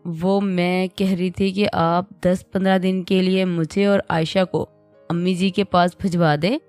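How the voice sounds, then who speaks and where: A woman voicing a touchy, quick-tempered young wife who sulks and snaps. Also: fairly low pitch, little pitch movement, darkish tone, smooth, no echo, soft background music, close to an everyday voice. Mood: huffy. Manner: indignant, sulky.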